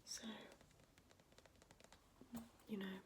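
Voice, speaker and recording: A woman whispering in a breathy, unvoiced voice, chatting casually and hesitantly in an ASMR style as a video host. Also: very slow, noisy background. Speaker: video host showing a record collection.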